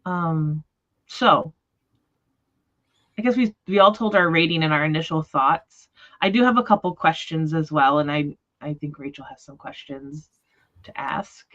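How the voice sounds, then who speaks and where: A woman with a fairly low voice hosts a casual livestream chat about books. She talks informally and tentatively, rambling a little as she goes. The audio is somewhat dull and lo-fi. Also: lively intonation, measured pace, a bit bright. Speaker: host of a book discussion livestream. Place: remote livestream call.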